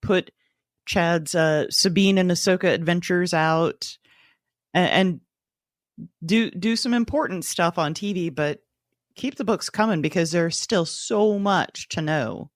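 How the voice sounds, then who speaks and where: A woman's voice: lowish voice, a little slow, dry recording, studio quality. A woman co-hosting a book podcast, talking casually and with a playful, jokey manner. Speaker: podcast co-host. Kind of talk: casual book-club discussion.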